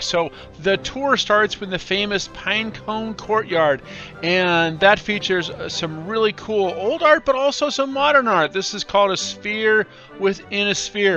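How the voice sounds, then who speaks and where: A man's voice: a little high, bright, forceful tone. A middle-aged man hosting a travel vlog, narrating in an upbeat, enthusiastic way. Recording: dull lo-fi voice-over track. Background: classical music.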